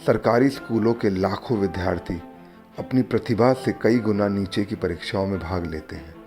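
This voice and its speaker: A man narrating a documentary in a solemn, measured way, opening with recited verse. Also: lowish voice, a little mellow. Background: background music.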